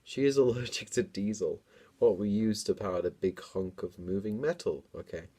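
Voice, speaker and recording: Husky young man reading theatrically. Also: fairly low pitch, normal speed, dark tone. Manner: theatrical reading, self-mocking commentary. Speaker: comedian reading own childhood book. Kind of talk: dramatic reading with casual joking asides.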